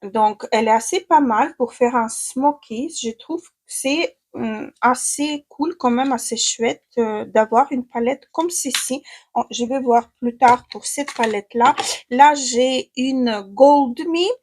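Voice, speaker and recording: A woman's voice: fairly high pitch, slow pace, darkish tone, slight background noise. A young woman from Ukraine who speaks French with a Ukrainian accent, chatting through a makeup tutorial with hesitant fillers and restarts. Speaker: beauty vlogger.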